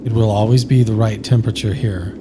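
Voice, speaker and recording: Male sleep-meditation narrator. Flat, unhurried, soothing read with long pauses, over clearly audible ambient noise. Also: lowish voice. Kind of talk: scripted guided sleep meditation.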